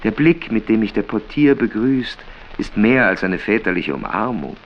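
An actor playing a fondly ironic itinerant writer in a literary radio play, telling things in measured, ornate phrases. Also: male voice, lowish voice, sing-song. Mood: wry, fond. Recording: dull, noisy, rain behind.

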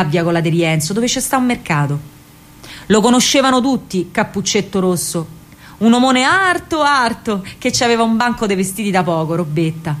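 Roman woman telling a dramatic story. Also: mid-range voice. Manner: colloquial. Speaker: actress narrator. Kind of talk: dramatic first-person storytelling in a radio play.

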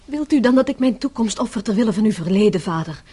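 Husky, mellow, resolute daughter. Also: female voice, medium pitch, expressive, quick pace. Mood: pleading, insistent. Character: resolute captive grown daughter, pragmatic and composed. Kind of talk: radio drama acting.

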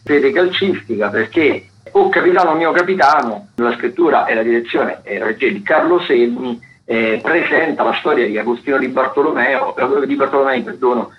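A man giving theatre listings on the radio in a conversational, halting way, often repeating and correcting himself. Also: mid-range voice. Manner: halting, frequent repetitions and self-corrections. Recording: dull lo-fi sound, clear background noise.

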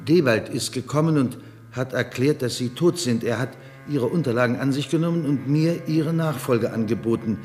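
An actor playing a thoughtful, earnest and bewildered newcomer to a space station in a reflective, measured 1970s radio play style. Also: male voice, medium pitch, a little mellow, soft background music, lightly acted. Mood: puzzled.

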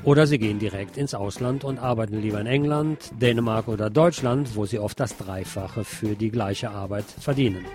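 A man's voice: on the low side, moderate pace, hardly any pauses. Man reading radio news over music. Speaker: radio presenter. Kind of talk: scripted news narration.

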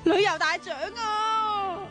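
An actress playing a sharp-tongued, bossy, excitable young woman in a radio drama, chatty and expressive, with a Hong Kong Cantonese accent. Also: very high voice, slow, music in the background. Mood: ecstatic.

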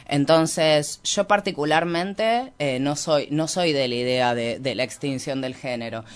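A man with an Argentine Rioplatense accent explains his ideas in an unscripted interview, halting and reflective, often correcting himself. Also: medium pitch, fairly bright tone, clear, resonant tone. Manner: halting, reflective, frequent hedging and self-correction. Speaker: interview guest, activist and researcher.

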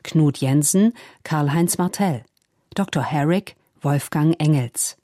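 A woman's voice: low voice, slow pace, dark tone, no room sound, studio quality. A woman announcer formally reading scripted credits in the manner of 1960s radio.

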